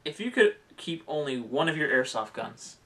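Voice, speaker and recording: A man's voice: a little high, big pitch swings, moderate pace, fairly bright tone. A man co-hosting a podcast, talking casually and conversationally about a hobby. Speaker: podcast co-host.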